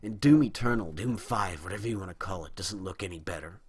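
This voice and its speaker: A young man in his early twenties delivers a rambling, indignant rant, stumbling and restarting as he goes. His voice sits low and comes out husky, and his throat sounds dry and worn. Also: fast talker. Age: young adult. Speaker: video host. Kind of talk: opinion monologue on video games.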